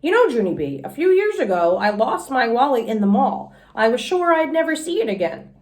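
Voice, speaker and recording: A woman voicing a kindly, gentle old grandfather in a children's storybook, in a folksy, affectionate way. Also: a little high, very animated, a little fast. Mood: earnest. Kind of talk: children's storybook read-aloud.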